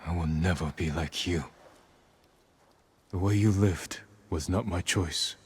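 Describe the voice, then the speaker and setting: Deep-voiced, solemn samurai lord. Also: male voice, breathy edge, full character voice. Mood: firm, wounded. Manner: restrained, solemn, measured. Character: grave, dutiful adult samurai lord, resolute, haunted son. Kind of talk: dramatic video game acting.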